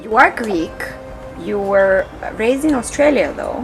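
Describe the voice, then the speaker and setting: A woman's voice: mid-range voice, animated, moderate pace, music in the background, rough recording. A woman hosting an interview, asking upbeat questions and laughing often. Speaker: interview host.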